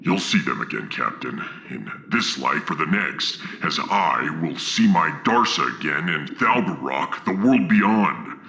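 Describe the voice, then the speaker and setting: An actor playing a solemn, fierce warrior from a harsh moon, with a gravelly voice and grave, proud, formal speech in a science fiction audio drama. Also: male voice, very deep voice, sing-song, a little slow, hardly any pauses, bright, forceful tone, exaggerated voice. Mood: reverent.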